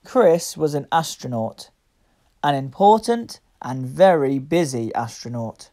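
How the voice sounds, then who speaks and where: A woman reading a picture book aloud to young children, with deliberate, expressive phrasing. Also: a bass voice. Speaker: children's story reader.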